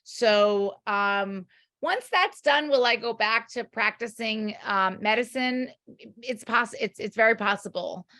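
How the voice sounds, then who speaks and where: A middle-aged woman, a doctor and author, talking as a podcast guest in an earnest, halting way. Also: a little high, pushed and bright, dry recording, studio quality. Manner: hesitant, many fillers and restarts, earnest. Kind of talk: casual interview chat.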